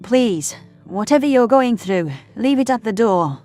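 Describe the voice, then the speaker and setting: A woman playing a patient human scientist in an audio drama, explaining things in a measured, firm way. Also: fairly high pitch, animated, darkish tone, no room sound. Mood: firm, friendly. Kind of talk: audio drama character acting. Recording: music under the voice.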